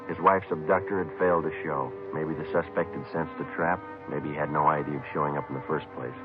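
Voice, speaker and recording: An old, muffled radio recording with music behind carries a very low-voiced American detective. He is deadpan and businesslike, reporting his case in short, clipped sentences like a 1950s crime drama. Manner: deadpan, matter-of-fact, clipped. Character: terse, businesslike male police detective sergeant narrating his case.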